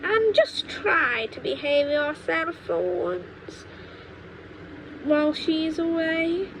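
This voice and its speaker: A woman's voice: expressive, slow, exaggerated voice. A woman voicing a grumpy, selfish, bossy old grandmother for a storybook, with a demanding, complaining delivery. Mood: bossy, scolding. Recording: noisy low-quality home microphone.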